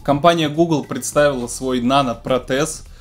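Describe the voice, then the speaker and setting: A man's voice: medium pitch, normal speed. A man in his thirties presenting music technology news in a casual, semi-scripted style from a home studio. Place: small home studio room with keyboards.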